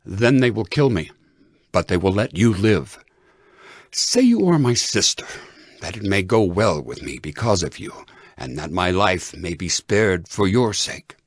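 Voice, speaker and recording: A man's voice: sits low, big pitch swings, unhurried, no room sound, studio quality, exaggerated voice. A man playing an anxious older husband in a dramatized scripture reading, earnest and persuasive. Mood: anxious, pleading. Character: Abram, anxious older husband pleading with his wife.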